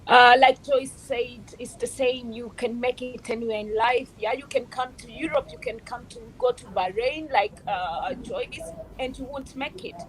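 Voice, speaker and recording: A middle-aged woman, answering interview questions as a guest, often pausing and restarting as she searches for words. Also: on the high side, low-quality recording. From Kenya.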